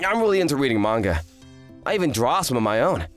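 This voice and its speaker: A woman voices a kind, earnest teenage anime boy, lowish for a female voice and very lively in intonation. He is an excitable, exclamatory type from a romantic comedy dub, with music behind. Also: quick pace. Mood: friendly, eager.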